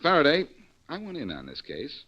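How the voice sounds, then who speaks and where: Vintage radio private eye: American, cocky, breezy, lively inflection, muffled old broadcast sound. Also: male voice, on the high side, normal speed. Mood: serious, explaining. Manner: breezy, needling banter. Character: cocky, quick-witted private detective, adult man. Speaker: lead actor in a detective radio drama. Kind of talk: old-time American radio drama acting. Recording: dull vintage radio recording.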